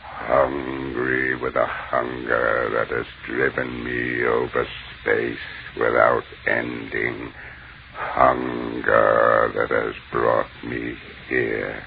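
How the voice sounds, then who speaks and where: An actor playing an ancient, contemptuous, menacing alien mind in old-time radio horror, speaking in slow, pompous pronouncements. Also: male voice, a bass voice, flat delivery, gravelly, heavily acted. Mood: menacing, hungry. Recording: muffled, narrow-band old radio broadcast with hiss.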